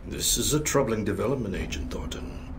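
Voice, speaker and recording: Dignified, low-voiced older statesman. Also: expressive, gravelly, background noise. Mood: grave. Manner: formal, deliberate, guarded. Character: dignified, cautious older male Taiwanese president. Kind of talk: video game dialogue. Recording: music behind.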